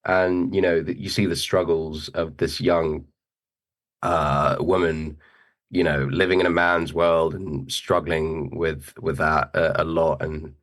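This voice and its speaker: A young man with a British accent tinged with American, speaking as an actor guest in a relaxed, hesitant interview chat, laughing easily. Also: very deep voice, slow. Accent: British with a hint of American.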